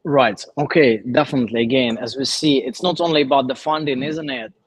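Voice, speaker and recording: A man's voice: mid-range voice, brisk, husky, no echo. A man hosting a startup workshop, calling on audience members and encouraging them to speak. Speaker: workshop host.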